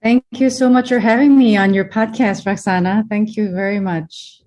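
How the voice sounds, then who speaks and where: A woman's voice: mid-range voice, fairly even intonation, normal speed, darkish tone, lo-fi sound. Chatty woman guest on a video call. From Hong Kong, raised in the US. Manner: loose and chatty, with frequent fillers and restarts. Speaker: guest, presenter and communication coach. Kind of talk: casual interview talk. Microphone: home computer on a video call.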